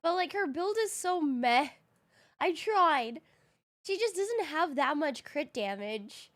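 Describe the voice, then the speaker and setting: A woman's voice: sits high, big pitch swings, takes it slowly, a bit bright. A woman live streaming a game in casual, slangy chat, her voice a little groggy from having recently woken up. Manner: slangy, informal.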